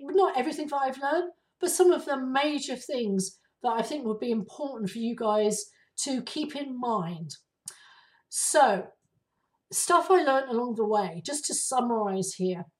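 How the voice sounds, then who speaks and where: A woman hosting her own podcast, her voice raspy and hoarse from flu, talking casually with many ums and uhs. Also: on the high side, recorded from a distance. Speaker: podcast host. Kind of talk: casual solo podcast talk.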